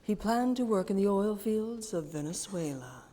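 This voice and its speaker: A woman in a live stage radio play, playing a calm figure who narrates the story. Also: mid-range voice, normal speed, long reverb tail, close to an everyday voice. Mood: storytelling. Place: theater stage.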